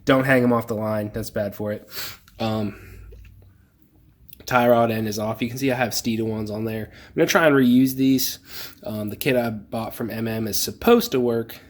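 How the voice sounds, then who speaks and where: A man's voice: mid-range voice, normal speed. A young man with a congested voice from a cold, casually talking through work on his project car in a home garage, with lots of ums and tips. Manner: relaxed, rambling, lots of ums, offering tips. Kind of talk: casual garage build vlog.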